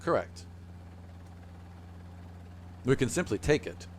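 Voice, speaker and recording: A man voicing a wary, stern female leader of forest soldiers in a formal, measured, guarded way for a tabletop game. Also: medium pitch, sing-song, slight background noise.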